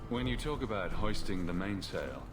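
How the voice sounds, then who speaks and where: An actor playing a cheeky, joking adventurer hero in a fantasy video game. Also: male voice, lowish voice, animated, normal speed, close to an everyday voice. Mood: flirtatious. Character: wisecracking, flirtatious male adventurer hero. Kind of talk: video game dialogue acting. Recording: game audio with music underneath. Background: music.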